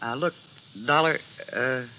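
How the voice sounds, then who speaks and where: A man's voice: medium pitch, measured pace, slight background noise, natural voice. A male actor playing a surly, nervous, grasping American of about thirty in an old radio drama, talking in a cagey, slangy way. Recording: dull old radio recording.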